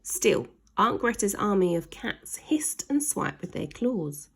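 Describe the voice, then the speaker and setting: A woman's voice: mid-range voice, sing-song, slow pace, gravelly, slightly rough. A woman narrating a children's story aloud in a dramatic way, leaning hard on sound words. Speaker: storybook reader.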